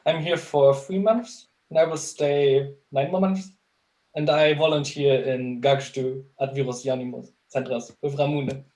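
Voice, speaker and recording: A nineteen-year-old man from Germany, a volunteer, introducing himself briskly on a video call. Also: medium pitch, fairly even intonation, darkish tone. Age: teens. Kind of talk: casual self-introduction.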